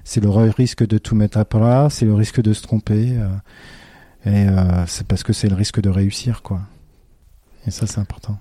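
A man's voice: lowish voice, little pitch movement, moderate pace, mellow, dry recording. A middle-aged man, a teacher from France, talking in a thoughtful, hesitant way with many fillers and restarts. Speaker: teacher, podcast guest. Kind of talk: casual interview talk.

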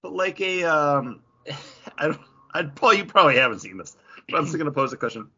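A man's voice: a little high, expressive, a little fast, bright, forceful tone, slightly rough, highs cut off. A man co-hosting a podcast in casual, rambling pop-culture chat with some mild swearing. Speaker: podcast co-host.

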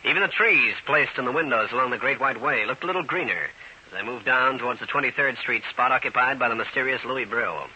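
An actor playing a wisecracking New York private detective in an old radio drama, terse, slangy and wry. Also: male voice, mid-range voice, fast talker, bright, forceful tone. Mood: wry narration. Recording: dull, hissy old radio recording.

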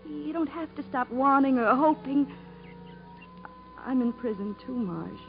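An actress playing a devoted, lonely, pleading wife in a 1950s radio melodrama, earnest and halting. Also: female voice, high voice, animated, gravelly, heavily acted. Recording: thin, phone-like old radio broadcast, music.

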